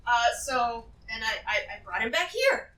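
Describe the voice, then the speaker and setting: A woman's voice: fairly high pitch, very animated, normal speed, pushed and bright, pitched below the performer's own voice. A woman voicing a nervous reformed villainess in a tabletop game, stammering and evasive as she pleads. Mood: sheepish, pleading. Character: sheepish, nervous reformed villainess talking her way out. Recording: echoey, noisy, distant group mic.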